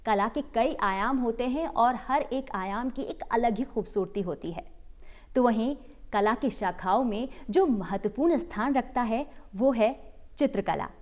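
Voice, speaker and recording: A woman hosting a television interview, speaking in a measured, formal announcer style. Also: a little high, a little mellow. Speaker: host.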